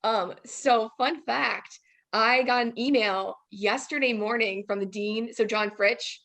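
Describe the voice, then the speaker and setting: A woman's voice: on the high side, slow, a bit bright. A woman in her early twenties chatting casually as a guest student teacher. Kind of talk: casual chat.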